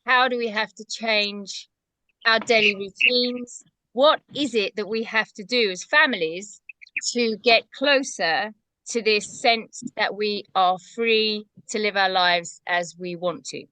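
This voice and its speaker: A woman hosting a group video-call chat, welcoming guests in a deliberate way. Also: mid-range voice, pushed and bright, no room sound, poor audio. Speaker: host.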